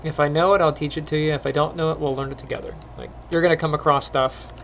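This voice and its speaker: Phlegmy, gravelly man teaching animation. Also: fairly high pitch, quick pace, a little hiss or hum. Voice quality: phlegmy, clears throat often. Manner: relaxed, rambling, many ums. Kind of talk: casual screen-recorded tutorial chat.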